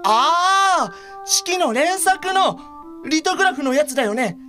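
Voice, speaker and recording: An actress playing a blind art lover, casual, frank and gently exasperated, in an audio drama. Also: female voice, sits high, animated, measured pace, soft background music, full character voice. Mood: interested. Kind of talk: Japanese audio drama acting.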